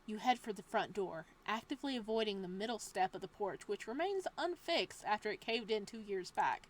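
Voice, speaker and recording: A woman's voice: medium pitch, lively intonation, a little fast, slight background noise. A woman reading a horror visual novel aloud, breaking off for playful, joking asides. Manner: playful asides between narration. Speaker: game streamer.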